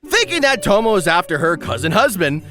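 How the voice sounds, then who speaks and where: A man's voice: high-pitched, very animated, normal speed, over music. A man narrating a scripted comedic anime review in a wry, exaggerated, joking way. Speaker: video essay narrator.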